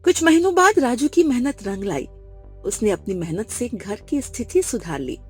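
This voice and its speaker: A woman reads a scripted children's story as narrator. Her voice is slightly high and husky, with very lively intonation. Music plays faintly behind her. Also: moderate pace.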